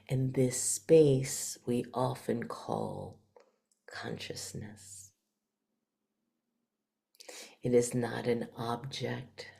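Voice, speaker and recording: A woman with a very deep voice guides a meditation at an extremely slow pace. Her tone is dark and mellow, and she speaks in hushed, short phrases, leaving long pauses between them. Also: gravelly. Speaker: meditation teacher. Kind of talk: guided meditation, spiritual talk.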